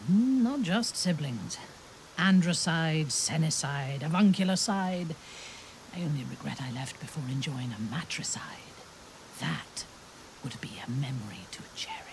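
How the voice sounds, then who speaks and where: A woman playing a proud, fierce dark elf warrior in a fantasy video game, speaking in a formal, deliberate, imperious way. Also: low-pitched, animated, noisy background. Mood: darkly wistful. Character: proud fierce female drow warrior, devoted lover.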